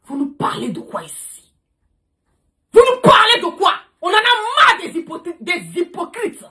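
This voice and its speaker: A woman's voice: very high voice, huge pitch swings, measured pace, pushed and bright. A woman delivering an impassioned political livestream rant, emphatic, repetitive and confrontational. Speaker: livestream host.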